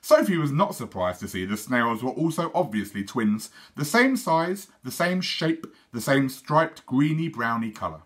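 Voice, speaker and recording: A British man, a teacher, reading a storybook aloud to children in an animated way. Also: mid-range voice, moderate pace, hardly any pauses, slightly rough.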